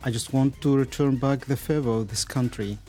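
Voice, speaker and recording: A man's voice: medium pitch, brisk, dark tone, faint music behind. A man in his thirties from Syria, a refugee teacher, speaking hesitantly and searching for words in an interview. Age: 30s.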